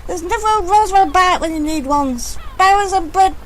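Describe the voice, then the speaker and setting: Very shrill Victorian fishwife. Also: female voice, normal speed, slight background noise. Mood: wailing, panicked. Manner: melodramatic, slangy. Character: shrill, slangy Victorian fishwife, melodramatic woman.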